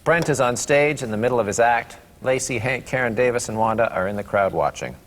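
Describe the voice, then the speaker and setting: A man's voice: mid-range voice, a little fast. Man reading stage directions, echoey room. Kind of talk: scripted narration at a table read. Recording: reverberant room, faint background noise.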